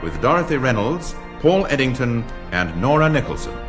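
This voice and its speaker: A man's voice: mid-range voice, very animated, moderate pace. A man announcing a radio drama and its cast in a scripted introduction. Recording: announcement over loud music. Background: loud music.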